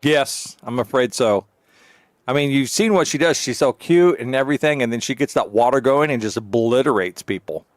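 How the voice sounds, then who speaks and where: A man's voice: medium pitch, normal speed, no echo, studio quality. A man giving casual, joking gameplay commentary as a streamer, with a wry manner. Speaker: game streamer. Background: game music.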